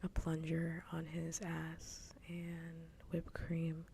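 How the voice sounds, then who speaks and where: A woman streamer chatting casually in a soft, breathy whisper, rambling along in ASMR style on a livestream. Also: low-pitched, monotone, slow pace, a little hiss or hum. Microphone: close ASMR microphone.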